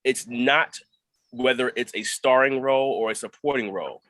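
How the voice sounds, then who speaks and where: A man's voice: medium pitch, normal speed, a bit bright, dry recording. A man talking reflectively about his craft as a guest actor in an interview.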